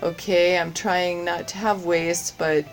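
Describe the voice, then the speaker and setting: A woman with a fairly low voice answers interview questions at an unhurried pace. She stops often, restarts her sentences and fills the gaps with ums. Soft music plays faintly behind her. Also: little pitch movement. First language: English. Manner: hesitant, many fillers and restarts. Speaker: course participant, general manager.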